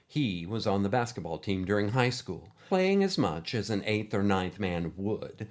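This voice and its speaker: An expressive male storyteller with a fairly low voice that moves widely up and down as he reads a scripted tale in an animated manner. He shifts his delivery to suit the characters. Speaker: storyteller and show host.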